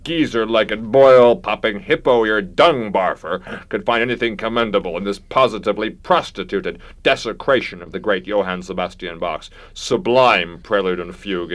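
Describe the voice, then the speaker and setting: An actor playing a pompous, irascible classical music critic, haughty and ornate, in a satirical radio comedy. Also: male voice, on the low side, moderate pace, hardly any pauses, a bit bright, natural voice. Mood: outraged.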